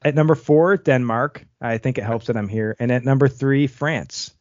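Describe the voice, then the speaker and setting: A man's voice: mid-range voice, normal speed, darkish tone, dry recording. A man hosting a podcast, reading out a countdown and thanking listeners in a casual chat. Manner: countdown announcing, earnest and thankful. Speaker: podcast host. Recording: dry, dull lo-fi sound.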